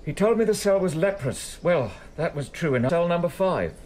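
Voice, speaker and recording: Troubled, higher-voiced prison keeper played by a man. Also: lively intonation, normal speed, a little mellow, slight background noise, close to an everyday voice. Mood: troubled, earnest. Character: troubled, conscientious male prison keeper reporting to superior. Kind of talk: radio drama acting.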